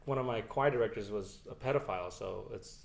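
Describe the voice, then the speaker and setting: A man's voice: on the low side, a little fast, creaky. A young man co-hosting a casual podcast chat, joking and teasing with plenty of swearing. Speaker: co-host. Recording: echoey room.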